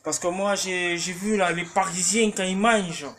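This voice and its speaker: Man, 30s, Marseille accent, slightly high voice, rambling home-kitchen vlogger on an arm's-length phone. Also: steady tone, normal speed, a bit bright. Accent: Marseille French. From Marseille, France. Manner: rambling, chatty, many fillers and restarts. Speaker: cooking vlogger. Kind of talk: casual chat to camera. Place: small home kitchen, indoors. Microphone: phone camera held at arm's length.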